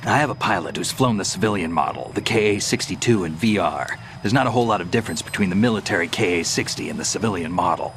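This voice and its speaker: A battle-hardened game soldier, with a very low, gruff voice. He gives tactical information tersely and flatly, over clearly audible background noise. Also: quick pace, few pauses. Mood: brisk, confident. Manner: terse, matter-of-fact. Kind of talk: video game cutscene acting.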